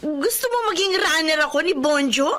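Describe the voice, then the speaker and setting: A woman's voice: high-pitched, expressive, fairly quick, over music. An actress playing a distressed young wife and mother in a Filipino radio drama. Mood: indignant.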